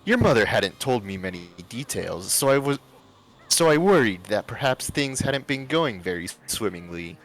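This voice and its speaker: Older rich socialite woman, played by a male reader: gushing politeness with a vicious edge, highly animated pitch, story narration. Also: moderate pace, rough recording. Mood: prying, falsely polite. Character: older affluent socialite woman, falsely sweet, condescending.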